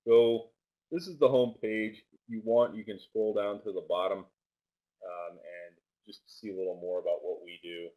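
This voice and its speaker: Low-voiced man giving a casual webinar. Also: fairly even intonation, normal speed, narrow, tinny audio. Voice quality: loud voice. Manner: loose, filler-heavy, restarts often. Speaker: webinar presenter on trading. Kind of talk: casual live webinar talk with screen share. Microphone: desk microphone set far back.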